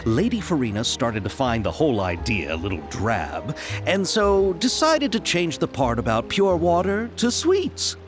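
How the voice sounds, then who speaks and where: A man's voice: medium pitch, very animated, moderate pace, lightly acted. A man playing a chatty, courteous producer. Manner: courteous, wordy, given to nervous laughs. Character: polite, eager young male film producer. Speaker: game character. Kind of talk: video game voice acting. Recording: game audio with soft music.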